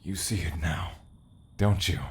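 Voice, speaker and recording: A man playing an ancient immortal being in an audio drama, wistful, devoted and solemn, speaking in breathy half-whispers. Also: sits low, measured pace, slight background noise.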